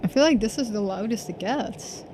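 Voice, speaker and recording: A woman's voice: on the high side, normal speed, noisy background. A woman streaming a casual game playthrough. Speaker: streamer reading game dialogue aloud. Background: game music.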